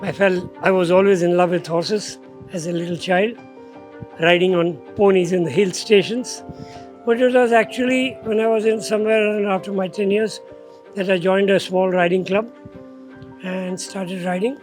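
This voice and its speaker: A man's voice: high-pitched, fairly even intonation, normal speed, soft background music. An older man, a riding school founder recalling his past steadily in a documentary interview.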